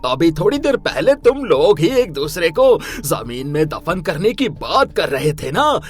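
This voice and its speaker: Man voicing a mocking evil cartoon moon, swooping pitch. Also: big pitch swings, a little slow, slight background noise. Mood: gloating menace. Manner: mocking, menacing. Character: gloating evil magical moon, male, bent on destruction. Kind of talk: animated moral story voice acting.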